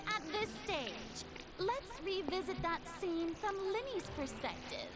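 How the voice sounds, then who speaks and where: A woman's voice: very high voice, lively intonation, unhurried, heavy background noise. A woman playing a haughty, dramatic young lady prosecutor in a video game, speaking in a smug, theatrical way. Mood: smug, accusing.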